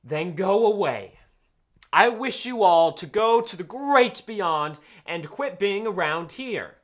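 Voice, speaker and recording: A young man acting an exasperated young writer in a comedy web series, with an emphatic, animated delivery. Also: high-pitched, slow, exaggerated voice. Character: exasperated young writer haunted by his dead friends.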